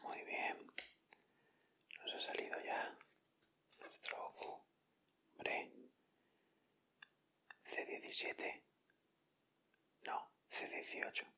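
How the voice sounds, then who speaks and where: Whispering Spanish man, ASMR. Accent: Spain. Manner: soft, unhurried, murmured short remarks. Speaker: ASMR video host. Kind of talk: whispered ASMR, casual commentary while opening sticker packs.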